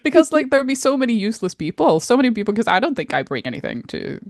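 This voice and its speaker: A woman's voice: on the high side, very animated, quick pace, no room sound, clean studio sound. A woman co-hosting a podcast, talking in a casual, conversational way. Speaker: podcast co-host. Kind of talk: casual chat.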